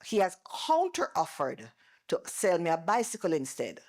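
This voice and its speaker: Middle-aged Jamaican woman, lower-pitched, attorney-lecturer type; loud, animated, talks through examples. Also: normal speed. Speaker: attorney and former bar president giving a seminar. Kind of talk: seminar presentation. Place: seminar room before an audience. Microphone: microphone she moves away from.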